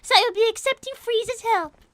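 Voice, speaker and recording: A woman's voice: very high voice, fast talker, fairly bright tone, no room sound. A woman voicing a naive young girl rabbit who speaks formally, earnestly and theatrically in an improvised comedy roleplay. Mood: indignant, distressed. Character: Cream, prim naive young girl rabbit, dramatically indignant.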